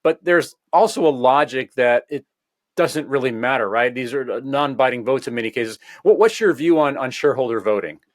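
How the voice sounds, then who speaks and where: A man hosting an interview, asking long, measured questions that frame the topic. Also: medium pitch, animated, no echo, studio quality. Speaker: interview host. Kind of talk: conversational interview.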